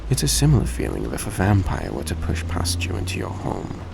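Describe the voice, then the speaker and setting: A man playing a courteous, gentle vampire in an intimate audio roleplay, speaking politely and a little hesitantly to the listener. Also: low voice, a little fast, creaky, heavily acted. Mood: explaining, relaxed. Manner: soft-spoken, polite, hesitant, formal phrasing. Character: courteous, gentle male vampire repaying a debt. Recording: steady background noise behind voice.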